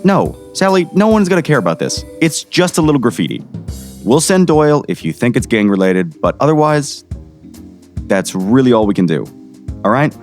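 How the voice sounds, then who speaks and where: A man's voice: medium pitch, very animated, moderate pace, darkish tone, soft background music. A man playing a weary small-town sheriff in an audio drama, folksy, patronizing and dismissive. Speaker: voice actor.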